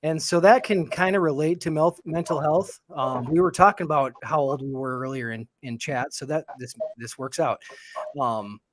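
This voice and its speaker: A man in his forties co-hosting a casual livestream chat, speaking in a reflective, halting way with many fillers. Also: a little high. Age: 40s. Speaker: livestream co-host.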